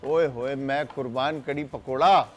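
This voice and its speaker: A man hosting a live TV cooking show, talking in an exclaiming, lively way with callers and guests. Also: mid-range voice, measured pace, soft background music. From Pakistan. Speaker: TV chef host. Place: TV studio kitchen.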